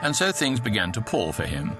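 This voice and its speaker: An actor as a wry, urbane narrator of a comic science fiction radio drama. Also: male voice, lowish voice, sing-song, music in the background. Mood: ironically grave. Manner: wry, precise, measured irony. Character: wry, urbane storybook narrator of galactic absurdities. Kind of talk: scripted narration, radio comedy.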